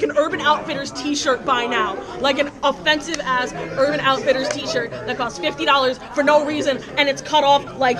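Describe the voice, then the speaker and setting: Loud, profane young woman ranting. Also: high-pitched. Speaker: host. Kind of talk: casual chat, comic reacting.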